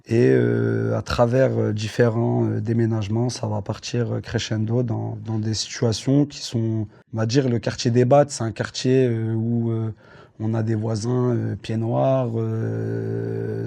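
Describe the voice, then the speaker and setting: A man in his thirties from France, telling his life story as an interview guest, halting and full of fillers and restarts. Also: on the low side, gravelly, mellow. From Dreux, France.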